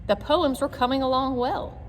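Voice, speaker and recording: A woman reading a children's chapter book aloud in an expressive, clearly phrased narration style. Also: high-pitched, moderate pace. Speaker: read-aloud reader. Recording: long echoey reverb, background noise.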